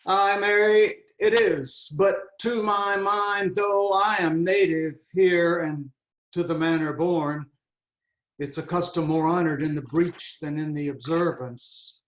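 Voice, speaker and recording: A man's voice: fairly high pitch, steady tone, slow. A man reading the part of a brooding young prince in a Shakespeare play, grave and declamatory, over a video call. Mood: brooding, disdainful. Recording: roomy, slightly dull video-call audio.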